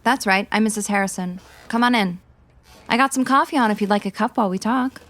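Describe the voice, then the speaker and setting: An actress playing a chatty, gossipy American housewife neighbor, earnest and folksy, in 1950s radio drama style. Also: female voice, mid-range voice, a little fast, fairly bright tone, natural voice. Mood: welcoming.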